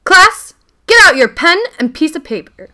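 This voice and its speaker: Mean snooty lady teacher for a radio play, shrill bright voice, really up and down, scolds everybody. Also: very animated, normal speed, heavily acted. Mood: indignant. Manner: theatrical scolding, haughty and sharp. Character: strict, scornful woman schoolteacher, impatient and mocking. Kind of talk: family radio play acting.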